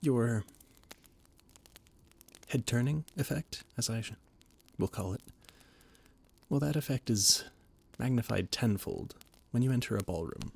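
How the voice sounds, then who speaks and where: A man's voice: medium pitch, unhurried, gravelly, pauses often, a little mellow, breathy edge. A man voice-acting a flustered, formal royal adviser in an audio roleplay, often stammering. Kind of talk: audio roleplay, scripted monologue.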